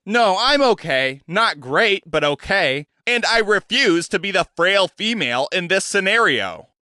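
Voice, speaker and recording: A no-nonsense young woman reporter, put on by a male reader, pitched well above his own voice. She talks in a firm, accusing way, and the sound is bright and dry. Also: very animated, unhurried, no room sound, heavily acted. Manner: accusing, authoritative.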